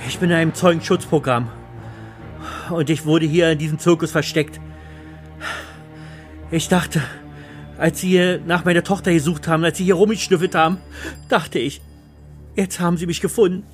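A man plays a mother living in hiding in a radio thriller. The voice is fairly high, guarded and urgent. Fear and suspicion run through it, with a light music bed beneath. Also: fairly even intonation, normal speed, pauses often, close to an everyday voice. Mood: fearful, confessing. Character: fearful, suspicious mother hiding in witness protection.

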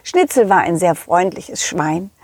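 A woman's voice: on the low side, husky, no echo, pitched below the performer's own voice. A woman voicing a friendly, eager male dog who narrates a children's audio story expressively, speaking directly to the kids. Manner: expressive, addresses children directly, halting delivery. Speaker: host and narrator.

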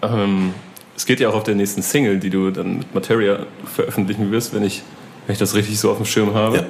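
A man's voice: lowish voice, steady tone, fairly quick, gravelly, a little hiss or hum. A man hosting a casual interview, chatty and easygoing. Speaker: interviewer, host.

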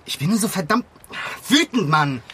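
An actor playing a hot-headed young male activist in an audio drama, with a rough, agitated voice. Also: sits high, sing-song, moderate pace, sharp tone, full character voice. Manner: cursing, agitated. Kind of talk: naturalistic German audio drama dialogue.

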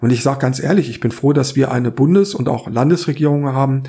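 A man with a rough, hoarse voice from a cold, co-hosting a podcast and giving his opinions in an earnest, emphatic way. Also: medium pitch, brisk, mellow. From Saarland, Germany. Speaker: podcast co-host. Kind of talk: opinionated political podcast talk.

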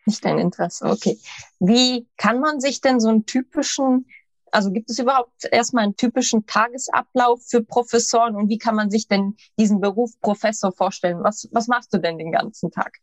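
A woman's voice: fairly high pitch, fairly quick, no room sound. A woman hosting an interview, asking questions in a friendly, prompting way. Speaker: podcast interviewer.

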